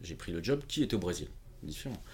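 A man's voice: low voice, big pitch swings, quick pace, darkish tone. A man being interviewed as a podcast guest, telling anecdotes casually and acting out quoted dialogue. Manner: informal, acts out quoted dialogue. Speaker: podcast guest, independent consultant. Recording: clearly audible background noise.

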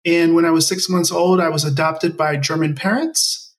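An American man in his forties talks conversationally as an interview guest, telling his own story. His voice is on the higher side and sounds a little away from the microphone. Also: fairly even intonation, moderate pace. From Maryland, United States. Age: 40s. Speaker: interview guest, nonprofit project leader. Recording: distant from the mic.

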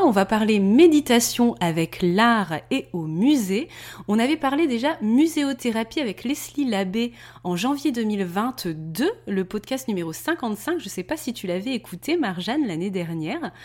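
A woman hosting a podcast interview, introducing her guest and asking questions with enthusiasm. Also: fairly high pitch. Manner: lively, enthusiastic. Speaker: podcast host.

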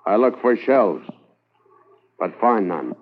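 Man voicing a menacing, slow-talking convict. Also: on the low side, very animated, voice pushed down, full character voice. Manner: slow clipped broken English. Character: menacing escaped convict man, terse and threatening. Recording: tinny 1950s radio transcription.

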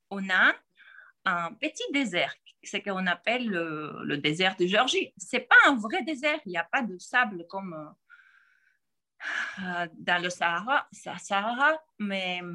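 A woman in her forties with a Georgian accent, answering interview questions in a halting way, with frequent pauses and restarts. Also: medium pitch, very animated, sharp tone. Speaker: podcast guest.

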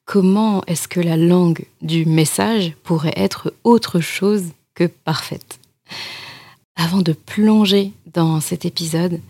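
Young woman, podcast host, earnest, addressing the listener, slightly husky, low-pitched. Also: no room sound. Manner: earnest, speaks gently and directly to the listener. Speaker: podcast host and Quran teacher. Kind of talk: reflective spoken podcast talk.

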